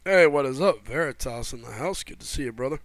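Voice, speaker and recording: Hoarse laid-back male game streamer. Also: mid-range voice, lively intonation, fast talker, no room sound. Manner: laid-back, greets viewers by name as buddy and brother. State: sore throat from a head cold. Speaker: livestream host. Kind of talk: casual live gameplay chat, reading chat aloud.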